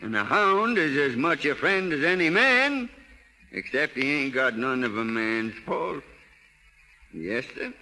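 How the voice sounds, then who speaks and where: An actor playing a folksy, stubborn old hound owner with a rural American drawl and halting, plain-spoken manner in a 1930s radio drama. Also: male voice, mid-range voice, big pitch swings, pushed and bright, full character voice, poor audio. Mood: tender, solemn. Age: older. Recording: hissy 1930s radio recording with clicks.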